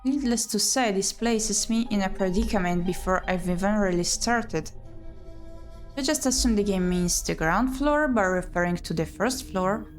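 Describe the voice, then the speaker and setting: A woman's voice: medium pitch, normal speed. Woman narrating a story over music. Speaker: streamer reading a visual novel aloud. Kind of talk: dramatic first-person story narration.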